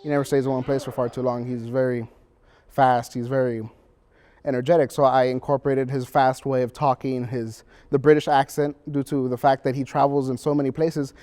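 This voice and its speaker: A young man speaks casually as a student actor being interviewed. His voice is smooth and relaxed, sitting at a medium pitch. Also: fairly quick. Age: young adult.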